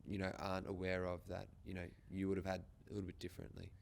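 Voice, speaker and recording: A man's voice: deep voice, monotone, fast talker, creaky, a little hiss or hum. A man with an Australian accent, hosting a podcast and interviewing in an informal, casual way. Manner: informal, occasional swearing.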